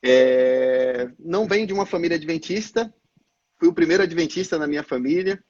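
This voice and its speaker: A man, a missionary pastor answering interview questions in a measured, explanatory way with frequent filler sounds. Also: on the high side, darkish tone, highs cut off. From Brazil, Salvador. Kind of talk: live-stream interview answers.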